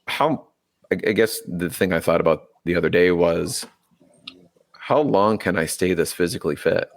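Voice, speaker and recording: A man's voice: low voice, moderate pace. A man in his forties, a triathlete and biology teacher, talking casually in an interview and often interrupting himself mid-sentence. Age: 40s. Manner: rambling, self-interrupting, earnest.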